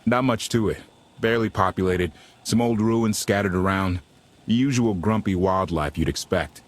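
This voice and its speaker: A man's voice: on the low side, moderate pace, natural voice. An actor playing a brash, wry male starship pilot in a sci-fi game, with clipped, informal talk. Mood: wry, grumbling.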